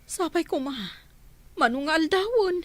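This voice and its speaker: High-voiced, talkative younger sibling. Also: female voice, expressive, measured pace, no echo. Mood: eager, anxious. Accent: Ilocano. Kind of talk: Ilocano radio drama acting.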